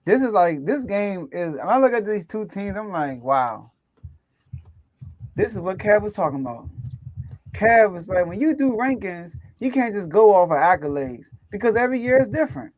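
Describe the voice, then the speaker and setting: High-voiced man in loose sports banter. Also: very animated, moderate pace, no room sound. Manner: rambling, laughs often, many restarts. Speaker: sports show host. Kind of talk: casual sports chat.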